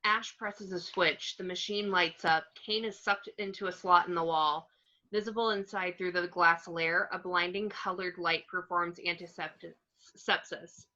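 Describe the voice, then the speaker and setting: A woman's voice: fairly low pitch, fairly even intonation, a little slow, sharp tone, highs cut off. A woman reading screenplay stage directions aloud as scripted narration.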